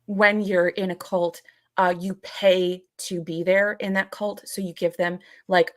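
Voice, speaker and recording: A woman's voice: lowish voice, no echo. A woman co-hosting a podcast, explaining points in casual discussion and often rewording them as she goes. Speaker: live podcast co-host. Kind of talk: casual explanatory discussion going through a list.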